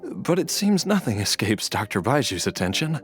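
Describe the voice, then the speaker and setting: A man playing a meek, frail, dying husband in a video game, speaking haltingly over music. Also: mid-range voice, sing-song, close to an everyday voice. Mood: sheepish. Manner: hesitant, halting. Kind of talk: scripted game character dialogue. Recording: background music under dialogue.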